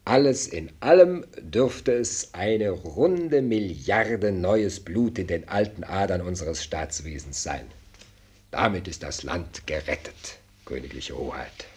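Radio play: solemn court adviser, very low husky voice, slow, formal, loyal. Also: male voice, lively intonation, darkish tone, heavily acted. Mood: solemn, reassuring. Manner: formal, measured. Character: solemn, loyal male adviser to a royal prince. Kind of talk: radio drama acting.

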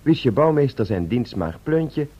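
Male announcer for vintage radio serial credits: formal, husky, animated intonation. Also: medium pitch, measured pace. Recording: dull old radio recording.

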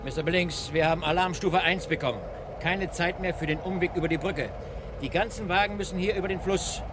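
A man plays an unyielding sergeant in an old radio thriller, barking orders. His voice is high and very fast, clipped with urgency, over noisy ambience. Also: natural voice. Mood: urgent, firm. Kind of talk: German radio crime drama acting.

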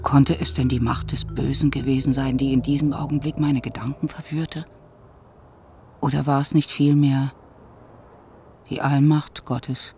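An actor playing a grave, brooding medieval monk who recalls loss in a solemn, husky voice, as radio drama narration. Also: male voice, mid-range voice, level tone, a little slow, long pauses, a little mellow, faint music behind.

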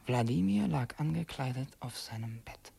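Brooding conspirator with a husky voice. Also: male voice, medium pitch, expressive, moderate pace, dark tone, heavily acted. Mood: hushed, reflective. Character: brooding young male conspirator planning murder, narrating his diary. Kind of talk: literary radio drama monologue.

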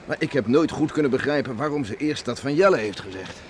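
A man's voice: mid-range voice, animated, fast, natural voice. Radio drama: male actor for older horseman father, medium register, hearty and teasing; slightly noisy archive recording. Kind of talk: Dutch radio drama acting.

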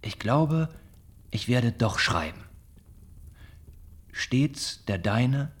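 An actor in a German radio play, playing a lovesick young gentleman, earnest and measured as he voices heartfelt letters. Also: mid-range voice, expressive, exaggerated voice. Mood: tender, longing. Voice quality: husky, breathy.

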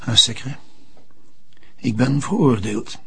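A man's voice: medium pitch, slow pace, slight background noise. An actor playing an older Flemish notary who confides and pleads hesitantly, mixing French phrases into his Dutch. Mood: grave, pleading. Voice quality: husky, gravelly. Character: old bourgeois notary, confiding and quietly pleading. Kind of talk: radio or TV drama acting.

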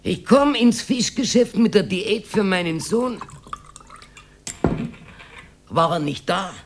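A woman's voice: medium pitch, lively intonation, slow, a little hiss or hum. An actress playing a talkative older Austrian working-class widow who confides her memories in a radio drama, rambling and dropping into whispers. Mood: aggrieved, confiding. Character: talkative old working-class widow reminiscing about her hard wartime life. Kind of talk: radio drama monologue, naturalistic acting.

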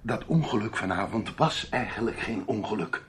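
A man's voice: fairly low pitch, expressive, moderate pace, breathy edge. A man playing an earnest, deferential car mechanic in a radio drama, plain-spoken, firm and respectful. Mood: grave, insistent.

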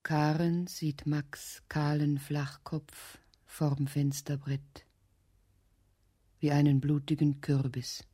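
A woman playing the grave narrator of a radio drama, measured and detached. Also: low-pitched, little pitch movement, mellow, exaggerated voice. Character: grave female narrator of a violent urban radio play. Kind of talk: scripted present-tense narration. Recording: clear background noise.

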